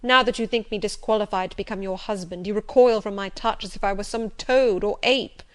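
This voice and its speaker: A stormy middle-aged gentleman pours out his words loudly and urgently, as a woman reads him aloud. He sounds impassioned and forceful in an audiobook telling. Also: medium pitch, steady tone, brisk, clean tone, natural voice. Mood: anguished. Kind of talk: audiobook reading.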